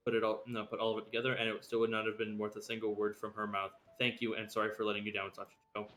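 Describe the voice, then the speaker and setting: A man's voice: lowish voice, completely flat delivery, fast, a bit bright. A man streaming a video game, reading its dialogue and letters aloud. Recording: clear background noise on stream mic.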